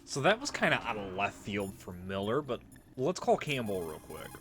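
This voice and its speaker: A man's voice: fairly low pitch, sing-song, normal speed, a bit bright, a little hiss or hum. A man giving casual let's play commentary, rambling and musing aloud.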